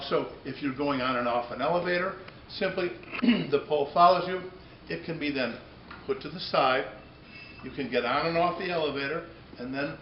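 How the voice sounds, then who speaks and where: Male product demonstrator, halting and unscripted, restating as he goes; dull, roomy sound with light noise behind. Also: a little high. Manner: halting, repeats and rephrases phrases. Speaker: company presenter demonstrating a product. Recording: echoey room, dull muffled audio, noticeable background noise.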